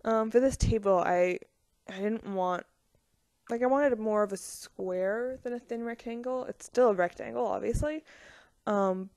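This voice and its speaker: A young woman talks loosely over her game build footage, with a pitch that sits in an everyday middle range. She rambles and hesitates, dropping frequent ums and little laughs. Her voice is close to the mic and dry, with no room around it. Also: a little mellow, no room sound. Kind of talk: casual voice-over commentary on a speed build.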